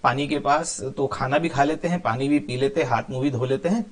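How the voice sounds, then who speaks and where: A man's voice: mid-range voice, brisk. A man telling a moral story informally, explaining as he goes with conversational asides and some English words mixed in. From India.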